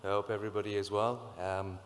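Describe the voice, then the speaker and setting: A man with a croaky sore throat presents to a conference audience, his voice sitting somewhat low. He halts often, fills pauses and restarts his sentences. The sound carries a long reverb from the hall. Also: flat delivery. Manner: halting, many fillers and restarts. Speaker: conference speaker. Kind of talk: technical presentation.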